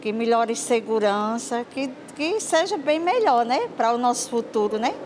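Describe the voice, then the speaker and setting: Senhora falando numa enquete de rua. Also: female voice, fairly high pitch, animated, a little slow, a little mellow, a little hiss or hum. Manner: repeated "né" tag questions. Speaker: street interviewee. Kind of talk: street vox pop. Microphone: handheld reporter mic.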